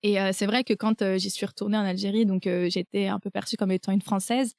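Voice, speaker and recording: A woman's voice: mid-range voice, flat delivery, fast, creaky, darkish tone, dry recording, studio quality. A young woman from France with a French accent, hosting a podcast conversation and talking casually with frequent hesitations. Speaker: podcast host.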